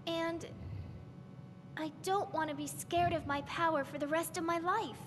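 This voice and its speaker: Determined teen heroine for fantasy game: earnest, high voice, long reverb over music. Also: female voice, steady tone, a little slow, noisy background. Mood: pleading, determined. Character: earnest, pleading, determined teenage girl. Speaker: video game character. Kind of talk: dramatic game cutscene acting.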